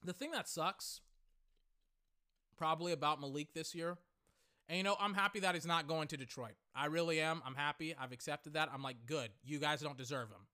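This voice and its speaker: A young man hosting a podcast, reacting live while he watches, excitable and swearing freely, his voice going hoarse. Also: on the high side, fairly quick. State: voice getting hoarse. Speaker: podcast host.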